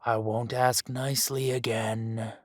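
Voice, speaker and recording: A smug, husky dragon crime boss. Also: male voice, medium pitch, flat delivery, no echo, studio quality. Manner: slow, smug, teasing, drops to whispers. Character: smug dragon mafia boss, teasing and menacing. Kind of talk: audio roleplay acting.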